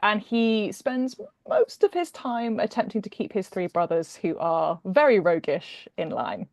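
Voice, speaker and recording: A woman's voice: mid-range voice, animated, measured pace, dry recording. British woman author, dry close recording. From Britain. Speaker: guest author. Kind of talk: conversational introduction and expressive book reading.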